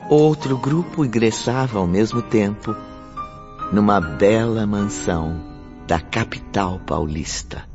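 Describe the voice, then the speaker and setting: A man performs a sorrowful male narrator for a radio drama. He speaks slowly and solemnly. The audio is muffled, with faint music underneath. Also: lowish voice. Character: sorrowful male spirit narrator recalling his suffering.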